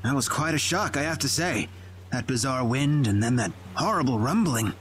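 A man's voice: medium pitch, lively intonation, moderate pace, lightly acted. A man voicing a shaken ship crewman. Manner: formal and shaken. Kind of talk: video game voice acting.

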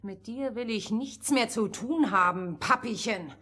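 A spoiled young princess from a fairy-tale radio play. She is scornful and demanding, with a highish, husky voice and slow, animated delivery. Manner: haughty, demanding. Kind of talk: theatrical radio play acting.

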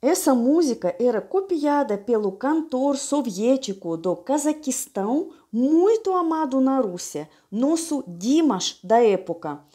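A woman with a Russian accent giving a calm, measured explanation. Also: high voice. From Siberia, Russia.

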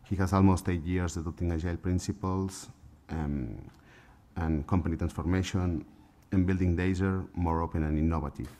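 A middle-aged man moderating a conference panel, introducing speakers in halting phrasing with fillers, into a table microphone. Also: sits low, steady tone, warm tone. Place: conference room on a panel stage.

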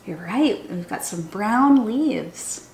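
A young woman, a children's storytime teacher, reading a picture book aloud in an animated, sing-song way, speaking straight to young listeners. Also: mid-range voice, takes it slowly, creaky, a little hiss or hum. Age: young adult. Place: small room indoors. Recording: roomy indoor echo.

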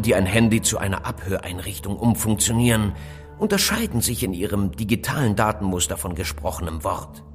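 An actor playing a self-assured, cryptic expert who lectures deliberately and emphatically in a German audio drama. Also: male voice, lowish voice. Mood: explanatory, sly. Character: self-assured middle-aged male expert on phone surveillance, cryptic.

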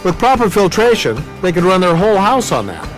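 A man hosting a home renovation show, asking quick joking questions outdoors and narrating voice-over. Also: sits high, sing-song, faint music behind, rough recording. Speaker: TV host. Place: outdoor backyard.

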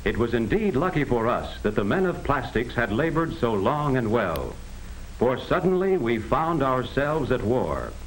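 An American man reading scripted 1940s educational film narration in a measured, explanatory manner on a vintage soundtrack. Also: mid-range voice, big pitch swings, a little hiss or hum, poor audio. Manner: measured, explanatory, addressing the listener directly.

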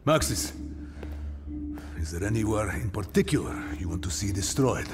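A man's voice: on the low side, lively intonation, normal speed, music in the background, natural voice. A man acting a hardened, wry covert operative in a video game cutscene, terse and formal in a commanding way.

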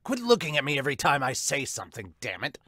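A man performs a hot-tempered manga villain with a bright, forceful edge. He is brash and snaps insults, recorded very dry. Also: medium pitch, wildly swooping pitch, quick pace, husky, no room sound, heavily acted. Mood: irate. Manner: snapping, insulting.

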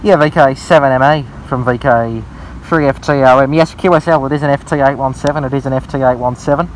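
A man's voice: medium pitch, steady tone, fast. An Australian man talking as an amateur radio operator, repeating phrases and spelling out callsigns. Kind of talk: ham radio conversation. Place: outdoors in a local park. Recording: outdoor recording with faint background noise.

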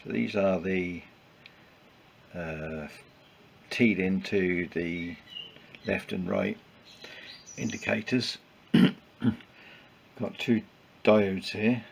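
A man giving informal hands-on workshop commentary in a halting, matter-of-fact way with clipped sentences. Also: deep voice, gravelly. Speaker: presenter of a motorbike build vlog. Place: small wooden shed workshop. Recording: noisy workshop recording with background ambience.

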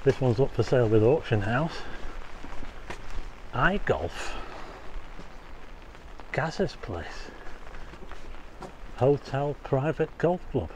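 A man's voice: mid-range voice, animated, slow pace, long pauses, a little hiss or hum, poor audio. A man casually narrating a walk outdoors on a rainy street, chatty, asking viewers questions and making wry asides. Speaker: walking tour vlogger. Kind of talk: casual walking commentary.

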